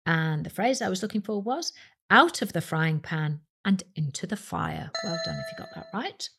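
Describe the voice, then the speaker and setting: British woman quiz host, very animated intonation. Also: on the low side, moderate pace, creaky, a little hiss or hum. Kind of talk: scripted quiz narration addressed to listeners.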